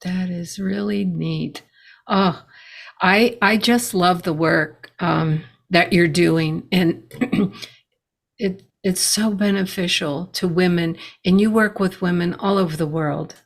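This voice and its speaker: A woman's voice: on the low side, takes it slowly, creaky. A woman hosting a podcast, responding encouragingly to her guest. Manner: encouraging, warm reactions. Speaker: podcast host. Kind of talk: podcast interview.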